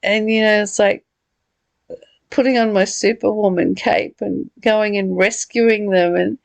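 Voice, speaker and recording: A woman's voice: mid-range voice, steady tone, measured pace, gravelly, no echo. A middle-aged woman talking reflectively and personally in a conversational study discussion. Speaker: study group leader.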